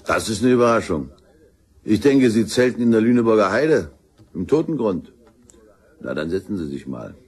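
A police inspector in a crime audio drama. His voice is slightly rough and moves freely in pitch. He stays composed, formal and courteous, using few words. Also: big pitch swings, normal speed, pauses often, exaggerated voice. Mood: patient. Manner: terse, formal, polite. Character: composed, patient male police inspector taking statements. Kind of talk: German crime radio drama acting.

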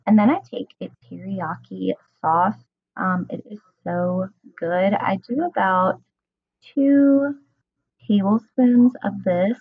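A woman's voice: medium pitch, slow pace, breathy edge, no room sound, phone-quality sound. A woman in her thirties, a home cooking vlogger, talking casually through her meal prep with a chatty, enthusiastic manner and plenty of ums. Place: home kitchen, indoors.

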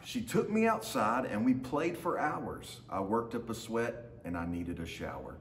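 A man's voice: mid-range voice, big pitch swings, a little slow. A man in his thirties reading a children's picture book aloud to students as their teacher, with short asides to his listeners. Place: large open indoor lounge with hard floor. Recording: roomy echo, faint background noise.

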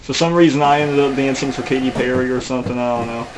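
A man's voice: mid-range voice, steady tone, fast, slight background noise, low-quality recording. Rambling self-mocking male narrator. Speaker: narrator and video maker. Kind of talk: improvised comedy narration over game footage.

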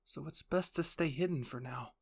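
A man's voice: a little high, normal speed, creaky, breathy edge. A man voicing a cautious, earnest young male soldier in an anime story, speaking with concern.

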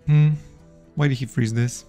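A man's voice: medium pitch, fairly even intonation, measured pace, warm tone, soft background music. A man streaming a digital card game live, playfully chatting with his opponents and viewers.

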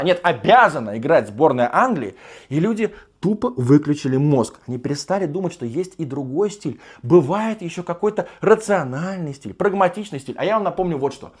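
A man in his thirties talks football from a desk in a small room, his voice sitting a bit high and sounding rough and worn. He argues emphatically and slips into sarcastic, mock-gushing asides. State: strained, partly lost voice. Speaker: football show host. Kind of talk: animated football commentary monologue. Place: small room with sofa, indoors.

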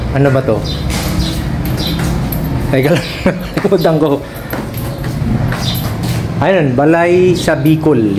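A man in his thirties giving a casual, excited walkthrough vlog of an old house restaurant, filmed on a handheld phone. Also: medium pitch. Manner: excited, exclaiming, pointing things out. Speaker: travel vlogger. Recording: noisy room ambience.

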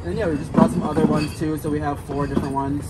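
A man's voice: on the high side, level tone, quick pace, loud music behind the voice, poor audio. A middle-aged man, a brewery brand ambassador, talking casually and upbeat about his beers on an outdoor city patio, recorded close on a phone. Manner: upbeat, salesy, laid-back. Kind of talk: casual on-street interview. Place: outdoor city patio beside a busy street. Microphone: handheld phone camera close by. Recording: street traffic noise behind. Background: passing cars, city street sounds.

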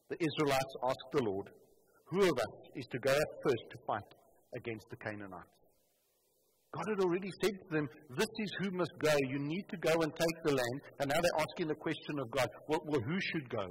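A man's voice: medium pitch, slight background noise. A man, preaching a sermon from a church pulpit on a headset mic, emphatic and full of rhetorical questions. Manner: emphatic, rhetorical questions, stresses key words. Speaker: pastor. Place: church stage at a wooden pulpit. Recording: reverberant church hall, faint ambience.

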